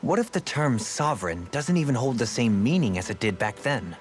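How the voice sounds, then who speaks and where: A man's voice: medium pitch, big pitch swings, a little fast, lightly acted. An actor playing a refined, thoughtful nobleman turned rebel in a video game dub, eloquent, formal and pensive. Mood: pensive, puzzled. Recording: game music playing behind. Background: background music.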